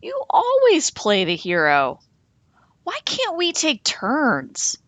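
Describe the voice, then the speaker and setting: An eager little storybook beaver, played by a woman, fed up and keen for a fair turn. The voice jumps up and down in pitch with a dry, close sound. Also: wildly swooping pitch, takes it slowly, fairly bright tone, dry recording, exaggerated voice. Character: Beaver, fed-up, eager animal friend of Goose.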